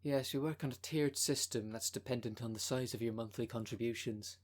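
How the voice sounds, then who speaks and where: A man playing a smooth-talking, con-artist female minister of a demon cult in an ASMR roleplay, with a polished, unctuous sales manner. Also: mid-range voice, a little fast, lightly acted. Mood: persuasive. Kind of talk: ASMR roleplay audio drama.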